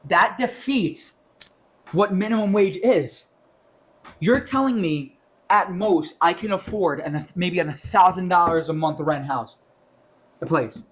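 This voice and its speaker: A young man with a fairly high voice on a casual podcast, ranting about money. He is animated and curses freely. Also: measured pace. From New York. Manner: animated, ranting, swears often. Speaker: podcast co-host.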